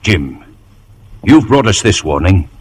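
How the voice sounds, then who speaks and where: A man's voice: sits low, big pitch swings, slow pace, breathy edge, full character voice. A man playing a resolute, authoritative ship's captain in an old radio drama. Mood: grave resolve. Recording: noisy old radio broadcast recording.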